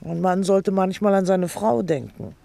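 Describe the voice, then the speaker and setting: An actress playing a big, nagging, self-pitying wife in a theatrical radio play, scolding and indignant. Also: female voice, on the low side, lively intonation, normal speed, vocal fry, warm tone, no echo. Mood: indignant, reproachful. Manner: scolding, indignant, dramatic complaining.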